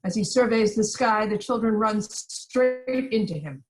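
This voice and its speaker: A woman's voice: fairly high pitch, measured pace, poor audio. A woman reading stage directions as the narrator in scripted narration.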